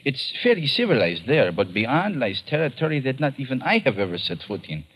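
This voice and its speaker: An actor playing a fat, indolent plantation owner in an old radio drama, speaking with florid courtesy and a bossy edge. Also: male voice, mid-range voice, very animated, brisk, a bit bright, husky, full character voice. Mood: courtly, obliging. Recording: noisy vintage radio recording.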